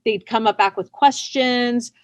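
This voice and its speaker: A woman's voice: fairly high pitch, a little slow, dry recording. A woman chatting casually as an interview guest, a writer with a self-deprecating, chatty manner. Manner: chatty, self-deprecating, often laughing lightly as she talks.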